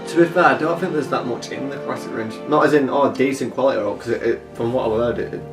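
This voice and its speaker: A man's voice: medium pitch, fast talker. A man co-hosting in casual chat, rambling informally and often correcting himself as he goes. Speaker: co-host. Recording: roomy echo, distant mic, music.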